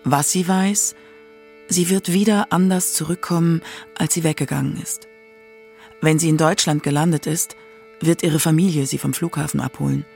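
A woman's voice: sits low, steady tone, normal speed, vocal fry, a little mellow, faint music behind. A woman reading scripted narration for a radio documentary feature.

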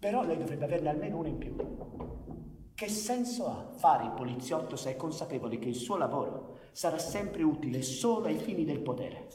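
A male actor playing a defiant, idealistic anarchist intellectual full of doubts in a theatrical radio drama, with an ironic, deliberate, declamatory delivery. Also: a little high, animated, warm tone, natural voice. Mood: impassioned. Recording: echoey hall with background noise.

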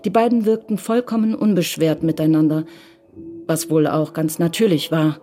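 A woman narrates a radio drama in a scripted literary style. Her voice is on the low side. Music plays clearly behind her. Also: fairly even intonation, moderate pace, warm tone. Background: music under the voice.

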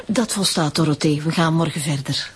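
A woman's voice: low-pitched, normal speed, heavy background noise, natural voice. A woman playing a fussy, affected, guarded singing teacher in a radio drama. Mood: haughty, fussy. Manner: affected, haughty, evasive.

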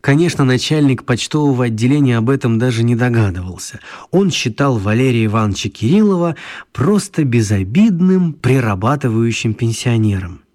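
A man narrating a children's radio play in a calm storytelling manner. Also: medium pitch.

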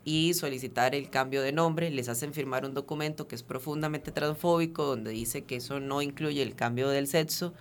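A man from Venezuela speaks as an activist guest on a talk program, explaining procedures in earnest detail. He often pauses on fillers and restarts his phrases, in a voice of ordinary pitch. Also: fairly even intonation, slight background noise. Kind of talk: radio interview, explanatory.

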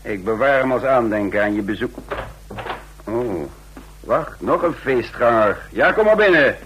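A man's voice: medium pitch, lively intonation, measured pace, a bit bright, slight background noise, rough recording. An actor playing a defiant trained fighter who recounts his own escape in a radio drama, with a sardonic edge. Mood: sardonic defiance. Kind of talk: radio drama, first-person narration and dialogue.

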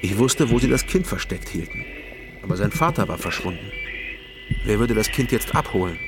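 A man playing a sober private detective, narrating and questioning in a measured way in a radio crime drama. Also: on the low side, expressive. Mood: sober, reflective. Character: East Berlin private detective narrating his case. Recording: music behind the voice.

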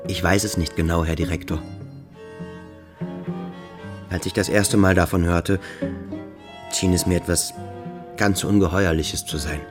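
An actor playing an earnest young boarding-school pupil in a radio play, speaking in a searching, thoughtful, deliberate way. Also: male voice, sits low, fairly even intonation, music in the background.

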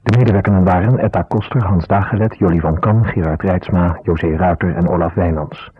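A man's voice: low voice, fairly quick, husky, dry recording. A man working as a radio announcer, reading credits in a formal scripted style. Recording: dry, dull 1970s radio recording.

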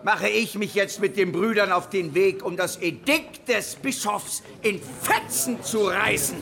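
An actor playing a persuasive, impassioned Anabaptist leader with emphatic oratory in a German historical radio drama. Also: male voice, high voice, unhurried, gravelly, bright, forceful tone, full character voice. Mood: firm, persuasive. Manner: oratorical, emphatic, addressing followers as brothers.

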